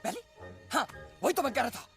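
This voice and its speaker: An actor playing a forgetful, eccentric uncle bird in a cartoon film, rambling and repeating himself. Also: male voice, extremely high pitch, very animated, brisk, gravelly, a bit bright, faint music behind, full character voice. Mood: excited, muddled. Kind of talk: animated film voice acting.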